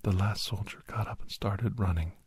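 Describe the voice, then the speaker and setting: Male performer as a solemn horror narrator for ASMR audio drama; low, husky whisper, deliberate phrasing. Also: steady tone, darkish tone. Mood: hushed, suspenseful. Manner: slow, deliberate, with pauses and repeated phrases. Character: Doctor Andrew Michaels, grave male storyteller recounting a werewolf encounter. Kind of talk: whispered ASMR dramatic first-person storytelling.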